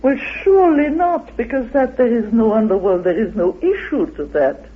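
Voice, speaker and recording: A man speaking as an interviewed guest, explaining emphatically and haltingly, repeating phrases for weight. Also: very high voice, animated, husky. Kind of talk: impassioned explanatory discussion. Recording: narrow, tinny old radio recording.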